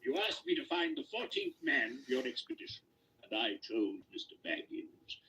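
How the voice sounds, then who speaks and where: A gravelly, commanding old wizard. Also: male voice, medium pitch, wildly swooping pitch, normal speed, sharp tone, slight background noise, exaggerated voice, poor audio. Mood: testy, commanding. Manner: theatrical, authoritative. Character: commanding old male wizard, wise, testy, mysterious. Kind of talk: British radio drama acting.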